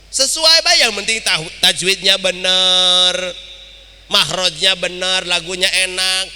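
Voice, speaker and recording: A man's voice: high voice, a little slow, pushed and bright, clean tone. A man preaching in a mosque, teasing and joking with his listeners as he talks to them directly. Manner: humorous, teasing, addresses audience directly. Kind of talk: mosque sermon. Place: mosque hall. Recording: long hall reverb.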